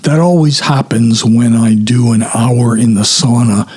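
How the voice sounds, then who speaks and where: Male podcast host with a scratchy throat, husky and unhurried, rambling through a story. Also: medium pitch, a little mellow. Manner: slow, chatty and descriptive. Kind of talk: unscripted storytelling monologue.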